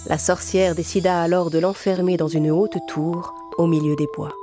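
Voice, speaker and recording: Female storyteller for fairy-tale narration: lowish pitch, measured script reading over prominent music. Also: steady tone, mellow.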